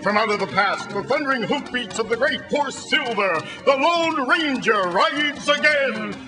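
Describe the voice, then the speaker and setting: Old-time radio western: stirring, theatrical masked-rider hero and herald, pitched high, music behind. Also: male voice, expressive, measured pace. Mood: grand, stirring. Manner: grand, declamatory, stirring. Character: heroic masked western rider and his rousing narrator. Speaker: announcer and actor in a western radio serial.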